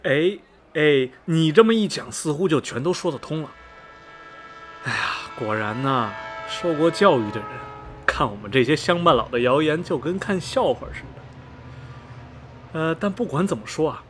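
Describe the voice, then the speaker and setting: A man acting in a radio drama as a chatty, superstitious small-town local, telling rumours in a folksy, animated way full of interjections. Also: medium pitch, a little hiss or hum, close to an everyday voice. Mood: earnest warning. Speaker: actor in an amateur student radio drama.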